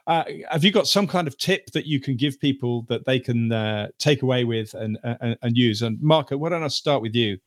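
A man hosting a casual panel podcast, speaking in a halting, hesitant way. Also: medium pitch. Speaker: podcast host.

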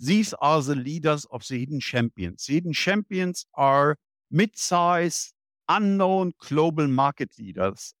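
A man in his seventies, a guest in an interview, laying out his points one by one in a measured way. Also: fairly high pitch, fairly bright tone, dry recording, clean studio sound. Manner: measured, listing points in order. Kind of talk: interview answers.

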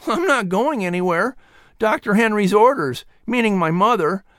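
A narrator acts out an earnest young colonial boy for a children's audiobook. He speaks high and excitably, grateful and eager, with a very lively swing. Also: moderate pace, no room sound, clean studio sound, lightly acted.

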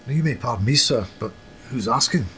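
Man playing a formal Scottish gentleman coach, noisy backdrop. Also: mid-range voice, animated, fairly quick, lightly acted. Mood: guarded, courteous. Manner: formal, old-fashioned courteous phrasing. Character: genteel Scottish football coach, proud yet modest gentleman. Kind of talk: period audio drama acting.

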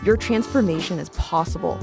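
A woman's voice: lowish voice, fairly quick, vocal fry. Woman reading a polished show intro. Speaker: show announcer. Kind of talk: scripted intro read. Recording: voice over loud music. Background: music.

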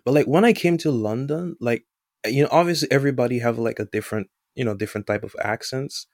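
A man's voice: medium pitch, fast talker, darkish tone, dry recording, studio quality. A young man from the Caribbean with a mixed Caribbean and Canadian-sounding accent, chatting on a podcast and rambling with lots of fillers. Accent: Caribbean-Canadian mix. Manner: rambling, heavy on fillers like "like" and "you know". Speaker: podcast co-host. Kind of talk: casual podcast chat.

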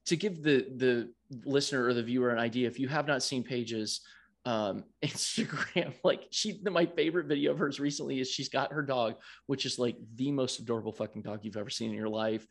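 A man hosting a podcast, loud and talkative, laughing often as he asks questions in a casual interview. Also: mid-range voice. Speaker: podcast host.

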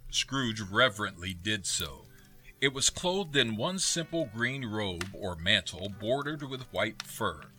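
Man as bright, forceful storybook narrator. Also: lowish voice, very animated, slow pace, soft background music, full character voice. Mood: relishing, wondering. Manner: articulate, relishing descriptive lists. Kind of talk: scripted theatrical narration.

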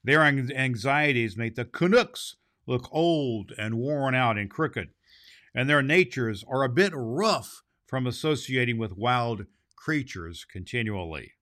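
A man reads a storybook aloud as its host. His delivery is expressive, in the way of a storyteller, with occasional small stumbles over the words. His pitch sits in an ordinary middle range for a man. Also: a little slow. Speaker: storybook reader and podcast host. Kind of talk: storybook narration read aloud.

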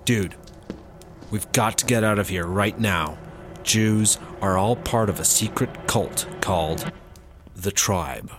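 Uptight, lowish-voiced anxious partner. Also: male voice, takes it slowly, music in the background. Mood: paranoid. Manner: wary, exasperated straight man. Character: uptight, anxious, practical male partner prone to paranoia. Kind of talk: sci-fi comedy audio drama acting.

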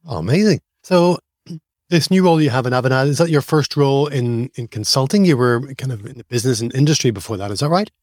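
Male podcast host, mid-pitched, dry studio sound, friendly interviewer. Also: animated, fast, a little mellow, no room sound. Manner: friendly, scripted intro then conversational questions.

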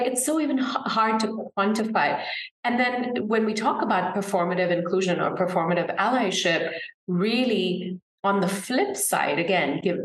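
A woman's voice: medium pitch, roomy sound, poor audio. A woman, an author and consultant, talking earnestly as an interview guest, with frequent restarts and emphatic repetition. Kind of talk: conversational interview talk.

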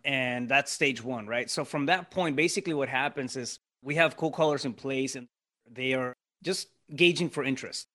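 A man's voice: medium pitch, moderate pace, fairly bright tone. Podcast guest, man with a Mexican Spanish accent, a native Spanish speaker; casual and explanatory, checks in with tag questions. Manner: explanatory, lays out steps, frequent tag questions. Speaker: podcast guest, real estate investor. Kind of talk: casual interview talk.

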